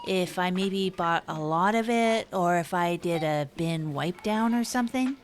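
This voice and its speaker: A woman's voice: lowish voice, measured pace, natural voice. A woman playing a hapless, earnest evening radio host in an improvised comedy audio drama, rambling and correcting herself as she goes. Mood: hesitant, hopeful.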